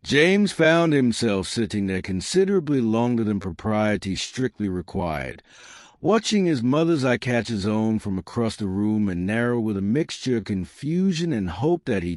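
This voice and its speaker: A man reading a historical romance as scripted narration, in measured, deliberate, literary phrasing. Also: on the low side, very animated, darkish tone, no echo, clean studio sound. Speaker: audiobook narrator.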